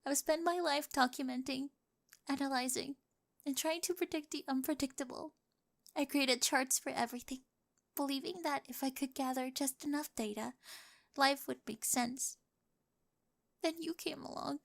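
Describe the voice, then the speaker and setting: A woman's voice: high voice, moderate pace, vocal fry, pauses often. A woman acting a quirky, analytical young bride in a scripted ASMR roleplay, earnest and loving, with precise scientific phrasing. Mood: tender, earnest.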